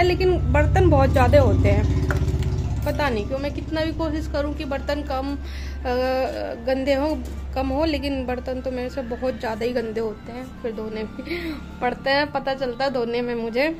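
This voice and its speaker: A young woman with a Rajasthani Hindi accent chats to her viewers in a slightly high voice while doing chores. She is recorded on a phone in an outdoor village courtyard. Background music plays clearly under her voice. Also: moderate pace. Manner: chatty, addresses viewers directly. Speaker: vlogger. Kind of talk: casual vlog narration.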